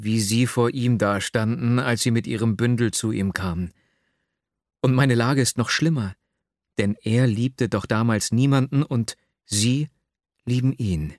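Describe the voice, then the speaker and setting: A man's voice: medium pitch, a little mellow, no echo, studio quality, lightly acted. A man playing an earnest, lovesick young man in an audiobook, agitated, self-doubting and urgent. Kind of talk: dramatic literary audiobook reading.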